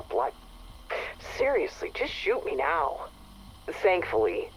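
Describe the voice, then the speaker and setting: An exasperated female station chief in a video game, dictating her log. Her delivery swings widely in pitch, full of dry sarcasm, with faint noise on the radio-like recording. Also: poor audio. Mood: mocking. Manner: sarcastic, animated griping. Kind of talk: acted audio log monologue.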